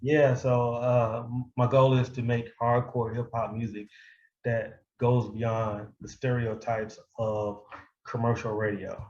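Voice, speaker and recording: A man in his forties with an American accent talks casually as a podcast guest. His delivery is halting, and he builds each thought from short pieces. It has the loose feel of an informal conversation. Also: medium pitch, little pitch movement, darkish tone, roomy sound, lo-fi sound. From United States. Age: 40s. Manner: halting, piecing thoughts together in short phrases. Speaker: podcast guest, hip hop artist. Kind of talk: casual interview chat.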